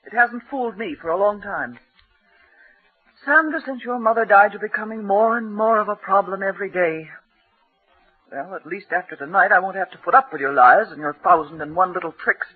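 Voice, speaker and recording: An actress playing a stern, exasperated, sharp-tongued housekeeper with a husky voice in a 1940s radio drama. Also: female voice, medium pitch, a little fast, faint music behind, natural voice. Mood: fed up. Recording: muffled vintage radio recording.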